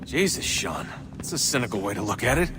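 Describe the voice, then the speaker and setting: An actor playing a wry, easygoing young American hero in a video game, talking in a casual, plain-spoken way. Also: male voice, medium pitch, sing-song, fairly quick, over music, close to an everyday voice. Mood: reasoning. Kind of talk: acted video game dialogue.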